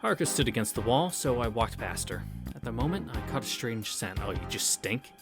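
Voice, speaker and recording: A man with a medium-pitched voice reads visual novel narration aloud. He slips into casual asides as host of the playthrough, with game music clearly behind him. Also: expressive, fairly quick.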